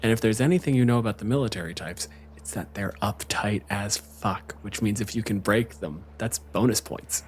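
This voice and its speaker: A man's voice: on the low side, normal speed, few pauses, slight background noise. A man narrating an improvised tabletop roleplaying game as game master, in a casual, sweary way. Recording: music playing behind the voice. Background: background music.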